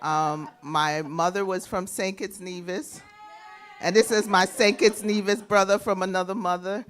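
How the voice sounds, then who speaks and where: A woman's voice: mid-range voice, fairly even intonation, a little slow. A woman from the US Virgin Islands and New York, a radio host talking informally and with good humour on a panel. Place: live panel event with an audience.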